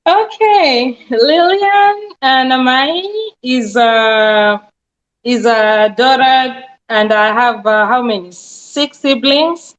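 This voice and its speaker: A high-voiced woman from Kenya with a Kenyan accent talks earnestly as an interview guest, explaining at length and pausing on frequent fillers. The sound is dull and lo-fi. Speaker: guest, nurse practitioner. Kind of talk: casual interview answers.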